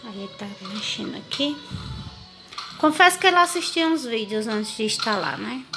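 A woman's voice: on the high side, expressive, normal speed, bright, forceful tone, soft background music. A woman with a Brazilian accent chatting casually to viewers as a home vlogger, informally showing and explaining things as they go. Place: home kitchen, indoors. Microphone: handheld phone or camera, held by the speaker.

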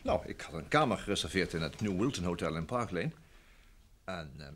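A man's voice: deep voice, very animated, fairly quick, a bit bright, slight background noise. A man acting a polite, busy secretary in an old Dutch radio drama, speaking in hesitant phrases with repeated words. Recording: vintage radio drama recording.

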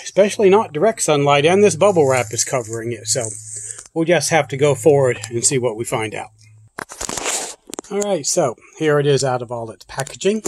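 A man's voice: on the high side, lively intonation, normal speed, a little hiss or hum, rough recording. A man hosting a prepper channel, talking casually off camera as he unboxes gear on a porch and thinks aloud with loose restarts. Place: porch and yard, outdoors.

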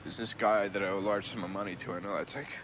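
A weary, troubled grown son pleads in a film drama. His voice is lowish and subdued, halting and earnest. Faint noise runs behind it. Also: steady tone, a bit bright. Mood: pleading.

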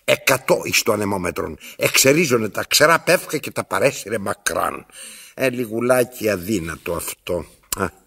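Gravelly, imperious old man, wildly theatrical. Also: lowish voice, lively intonation, a bit bright, exaggerated voice. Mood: haughty, declamatory. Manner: pompous, declamatory, with self-interrupting asides. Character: imperious, theatrical old male tyrant telling his story. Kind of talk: radio theatre acting.

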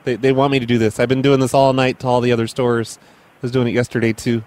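A man doing prank phone calls as a mall Santa, in a deadpan, crude, mock-serious way. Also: mid-range voice, fast, slight background noise. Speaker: prank call host.

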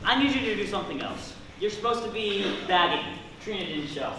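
A man's voice: high voice, animated, a little fast. A young man acting a curt, self-important assistant store manager in an amateur stage comedy. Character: officious, weary male assistant store manager. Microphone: distant camera. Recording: echoey stage, far off, noisy.

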